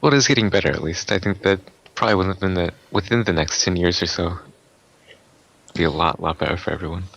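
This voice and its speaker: A man's voice: deep voice, quick pace, gravelly, a bit bright. A man hosting a casual interview, friendly and rambling as he asks questions, often laughing. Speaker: interviewer, internet radio host. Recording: dull lo-fi recording.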